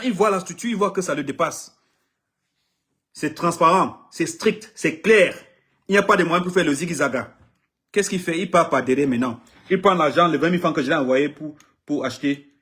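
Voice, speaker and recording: A husky, slightly high-voiced middle-aged man from Cameroon, native English speaker, delivering an impassioned address in French. He stresses his points, repeats phrases and trips over words as he defends his artist. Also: lively intonation, moderate pace, fairly bright tone. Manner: emphatic, repeats himself, stumbles over words. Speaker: artist manager.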